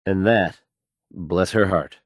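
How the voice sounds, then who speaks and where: A man acting a confident, smooth-talking, folksy old-time radio DJ for a video game. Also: deep voice, slow pace, no room sound, studio quality. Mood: breezy, assured. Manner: assured, folksy, showman-like.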